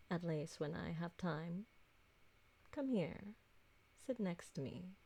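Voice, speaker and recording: Slow, low, doting vampire mistress, acted by a woman. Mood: gracious, welcoming. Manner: soft-spoken, genteel, tender, formal wording. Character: ancient, doting, refined female vampire mistress. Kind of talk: intimate ASMR roleplay acting.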